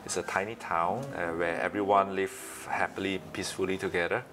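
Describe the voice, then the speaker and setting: Low-voiced Malaysian man, halting delivery. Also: little pitch movement. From Malaysia, east coast. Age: late 30s. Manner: halting, pausing often between phrases. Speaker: interview guest, entrepreneur. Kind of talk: conversational interview.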